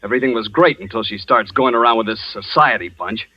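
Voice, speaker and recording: A man playing a jealous, hot-tempered working-class young man in a vintage radio drama, with gruff, slangy, threatening talk. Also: on the low side, quick pace, muffled recording. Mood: angry. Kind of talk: 1940s radio acting.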